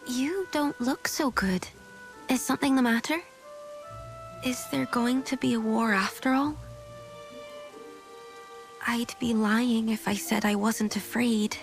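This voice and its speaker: An actress playing a gentle, devout young oracle in a video game cutscene, soft-spoken, pensive and solemn. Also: female voice, fairly high pitch, takes it slowly, long pauses, soft background music.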